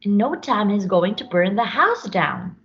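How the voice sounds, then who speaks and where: A woman's voice: mid-range voice, sing-song, a little slow, gravelly, fairly bright tone, muffled recording, exaggerated voice. A woman voicing an overwhelmed, distressed new mother from a storybook in a dramatic, exclaiming way. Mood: alarmed. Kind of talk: storybook character voice.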